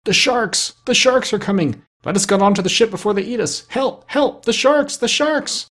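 A crowd of terrified pirates shrieks for help, as a man reads them out. The cries climb high and frantic. The voice has a husky edge. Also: expressive, normal speed, a bit bright. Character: panicking group of male pirates.

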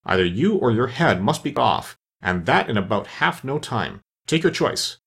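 A man's voice: mid-range voice, very animated, moderate pace, gravelly, a bit bright, husky, exaggerated voice. A man voicing a savage, bossy Queen in a storybook, commanding and threatening. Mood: furious. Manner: imperious, commanding. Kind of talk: storybook character reading.

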